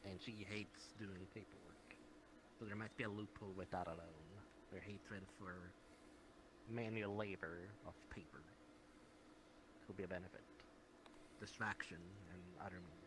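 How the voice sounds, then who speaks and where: Guy playing wary serious guild adventurer in online rp, lowish voice, formal n measured, noisy mic. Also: fairly even intonation, natural voice. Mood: wary, calculating. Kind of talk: improvised online fantasy role-play. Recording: noisy online voice-chat audio.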